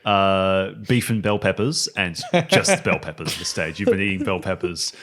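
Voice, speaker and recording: A man's voice: sits low, moderate pace, a bit bright, slight background noise. A man acting as game master, improvising jokes in casual tabletop banter. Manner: joking, improvising. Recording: music playing under the voice.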